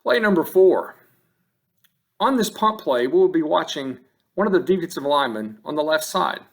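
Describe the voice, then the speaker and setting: A man narrates a training film as an instructor would. His voice sits a little high for a man. He speaks in short, measured, matter-of-fact statements, yet his intonation rises and falls in a lively way. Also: vocal fry. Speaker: football officiating instructor. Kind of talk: scripted instructional narration over game film.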